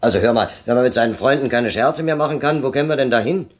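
A man's voice: mid-range voice, fast, lightly acted. A man playing a joking friend and an exasperated restaurant guest in old radio and TV comedy. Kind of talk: archive radio play and sketch comedy.

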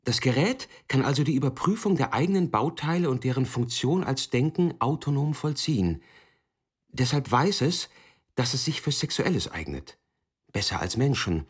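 A man giving a scripted literary narration in a measured, formal way, with a husky voice. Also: medium pitch, lively intonation. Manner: measured, formal second-person storytelling. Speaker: author narrator.

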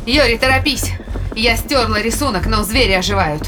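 A woman's voice: on the high side, brisk, lightly acted. A woman acting a defiant, loyal fighter who reports to her captain in an audio drama, with music behind her. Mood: urgent.

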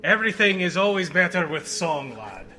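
A man's voice: high-pitched, very animated, moderate pace, a crowd in the background, pitched below the performer's own voice. A man voicing a pompous male guard captain and amateur bard in a slipping Irish-Scottish brogue, calling everyone lad. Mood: bossy.

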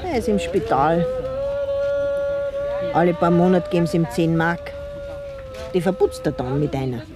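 A woman's voice: on the low side, moderate pace, very noisy. A woman with a Bavarian accent chatting casually in a colloquial, emphatic, gossipy way.

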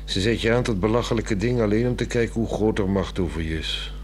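A man's voice: on the low side, fast, darkish tone, a little hiss or hum, close to an everyday voice. A man with a Netherlands Dutch accent playing a sullen, stubborn husband in a 1960s radio drama, blunt, curt and disdainful.

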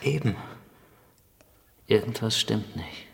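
An actor playing a practical, matter-of-fact islander who guides survivors in a German radio horror drama. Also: male voice, fairly low pitch, slow pace, creaky, fairly bright tone, heavily acted. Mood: hushed, watchful. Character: practical male islander guiding survivors through dark tunnels.

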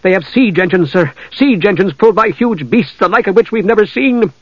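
Man doing a hurried soldier messenger. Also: high voice, lively intonation, no room sound, voice pushed up. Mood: urgent. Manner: hurried report. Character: young soldier messenger, dutiful. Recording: dull lo-fi dry recording.

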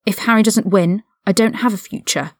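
Defiant young man acted by a woman, hot-headed, blunt, indignant, animated pitch, slightly gravelly, storybook character. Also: brisk, no echo, studio quality, lightly acted. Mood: defiant, shaken. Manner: blunt, indignant, informal speech. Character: defiant eighteen-year-old male wizard prisoner, hot-headed and loyal.

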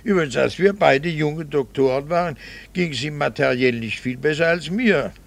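An actor playing a middle-aged doctor and husband in a radio drama, a gravelly voice and a dry, wry, quietly resentful manner. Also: male voice, a little high, normal speed. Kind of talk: 1960s radio drama acting.

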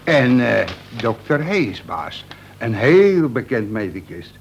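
An actor playing a wily, mock-deferential veteran convict in a Dutch radio play, reciting pedantically with bits of prison slang. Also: male voice, mid-range voice, big pitch swings, slow, highs cut off, a little hiss or hum.

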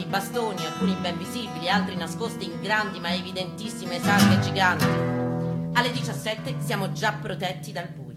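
Female reader, low register, emphatic colloquial storytelling, prominent plucked guitar underneath. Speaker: reader at a live literary reading. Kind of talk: dramatic reading of a first-person book narrative with guitar. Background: acoustic guitar playing.

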